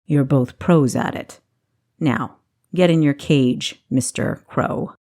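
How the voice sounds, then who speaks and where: A woman's voice: a bass voice, slow pace, mellow, pitched below the performer's own voice, heavily acted. A woman voicing a stern, dry-witted male dungeon keeper in a fantasy story, commanding in manner.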